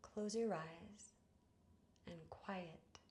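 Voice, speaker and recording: Slow, hushed woman guiding meditation. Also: fairly low pitch, a little hiss or hum. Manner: soothing, hushed, breathy phrase endings, long pauses. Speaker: yoga nidra guide. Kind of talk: guided meditation narration.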